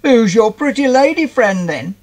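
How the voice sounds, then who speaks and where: A man's voice: very high voice, lively intonation, normal speed, darkish tone, voice pushed up. A man voicing a jovial, hearty, cheeky round egg fellow in a children's storybook.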